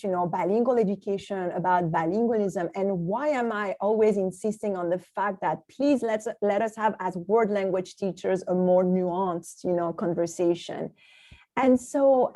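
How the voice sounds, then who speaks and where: A woman's voice: medium pitch, darkish tone. A French woman with a Parisian accent, speaking English conversationally and emphatically as a language teacher in a webinar. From France. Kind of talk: conversational webinar talk with personal anecdotes.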